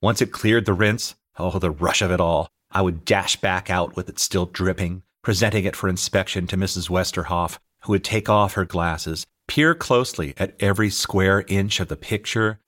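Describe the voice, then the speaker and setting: A middle-aged American man reading his own audiobook as an author and teacher, telling anecdotes in an expressive, scripted style. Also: sits low, normal speed, hardly any pauses. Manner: expressive, anecdotal storytelling, acting out dialogue. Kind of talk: scripted narration, audiobook memoir.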